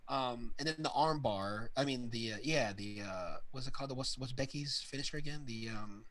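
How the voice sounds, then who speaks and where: A man's voice: medium pitch, normal speed, fairly bright tone, low-quality recording. A man casually interviewing a friend about wrestling, talking loosely with lots of fillers. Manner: loose and filler-heavy, lots of "like" and "you know what I'm saying".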